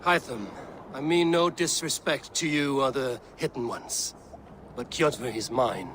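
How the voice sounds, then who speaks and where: An actor playing a proud young Viking warrior bent on restoring honor, solemn and resolute, in a video game. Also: male voice, medium pitch, unhurried, a bit bright, a little hiss or hum, lightly acted. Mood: firm, questioning. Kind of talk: dramatic video game acting.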